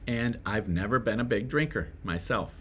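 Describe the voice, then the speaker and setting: A man's voice: lowish voice, moderate pace, fairly bright tone, a little hiss or hum. A man giving a conversational self-help talk to the viewer, often asking rhetorical questions and checking in with "right?". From Swedish family roots. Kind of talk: direct-to-camera motivational talk.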